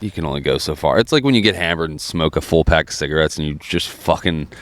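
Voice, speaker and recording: A man's voice: very deep voice, animated, fast. A man in his thirties from New Jersey, co-hosting a podcast in casual, profane chat while smoking a cigar. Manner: laid-back, profane storytelling. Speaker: podcast co-host.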